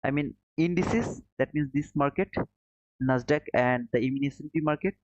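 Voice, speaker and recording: A man's voice: mid-range voice, normal speed, no room sound, dull audio. Bangladeshi man chatting casually on a trading stream. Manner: friendly, calls viewers brother, frequent chuckles. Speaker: trading livestream host. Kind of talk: casual live chat reading viewer comments.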